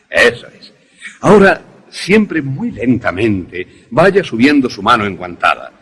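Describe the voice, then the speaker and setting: A man acting an enthusiastic professor in a radio drama, lecturing in a deliberate, didactic, theatrical way. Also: mid-range voice, big pitch swings, a bit bright, breathy edge, full character voice. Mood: eager, instructive. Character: enthusiastic male professor demonstrating an invention to students.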